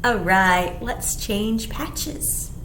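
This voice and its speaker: A woman's voice: mid-range voice, extremely slow. A middle-aged woman leading a children's storytime, reading aloud expressively as if to young listeners. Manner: expressive, clearly addressing young children, with simple questions. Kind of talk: animated children's picture-book read-aloud. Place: small indoor room. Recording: echoey small room, background hiss.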